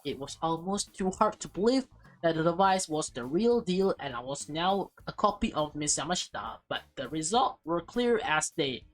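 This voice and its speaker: A woman reading a visual novel aloud as a let's play host, expressive and breaking into casual, offhand asides. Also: deep voice, moderate pace, rough recording.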